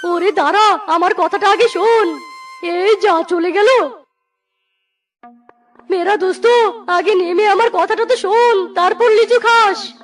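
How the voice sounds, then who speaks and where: Very high-pitched excitable cartoon critter. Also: female voice, takes it slowly, pauses often, faint music behind. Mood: panicked, pleading. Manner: exclamatory, dramatic. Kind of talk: children's cartoon voice acting.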